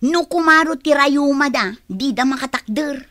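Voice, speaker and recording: A woman's voice: high-pitched, moderate pace, bright, forceful tone, no echo, studio quality. A woman playing a scheming, disdainful relative in a family radio drama. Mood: scornful, scheming.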